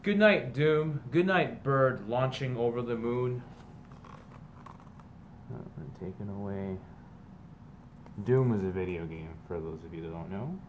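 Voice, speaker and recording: A man with a mid-range voice reads a children's book aloud in a teacherly way. His delivery moves between emphatic, sing-song verse and plain conversational asides. Clear background noise sits behind his voice. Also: medium pitch, lively intonation, a little slow, pauses often. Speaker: teacher reading aloud to students.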